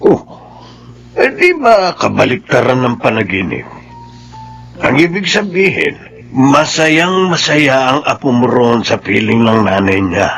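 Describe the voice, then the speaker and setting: An elderly, tender-hearted Filipino grandfather in a radio drama. His voice is gravelly and sits at a middle pitch, with a soothing, concerned delivery. Soft music plays behind him. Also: animated, unhurried. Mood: soothing, coaxing. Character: gentle, caring elderly grandfather.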